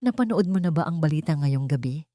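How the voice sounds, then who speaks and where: A woman playing a cold, resolute wronged wife in an audio drama, quiet and measured. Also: low-pitched, animated, no echo, clean studio sound. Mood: cold, resolved.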